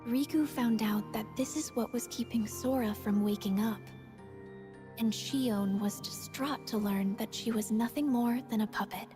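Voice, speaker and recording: A woman with a fairly high voice narrates a scripted game story recap. Her delivery is measured and solemn, and music plays clearly behind her.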